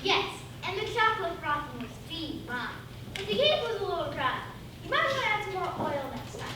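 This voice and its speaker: A woman's voice: very high-pitched, big pitch swings, a little fast. A woman playing a guileless, food-obsessed storybook child in a theatrical stage comedy. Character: food-obsessed, cheerfully naive storybook child on witness stand. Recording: distant, very echoey, noisy stage recording.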